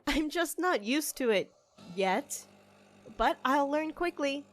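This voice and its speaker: A woman's voice: sits high, lively intonation, slow pace, a little hiss or hum. A woman playing a stressed, stubborn barista in an audio drama, with a wry, defensive, casual way of talking. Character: stressed, stubborn half-human young-looking woman barista, grieving, wry.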